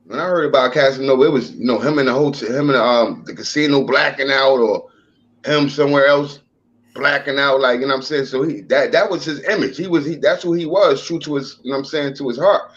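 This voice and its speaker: A man's voice: medium pitch, a little fast, a bit bright, highs cut off. A man in casual chat who keeps agreeing with short replies and gives his opinions plainly.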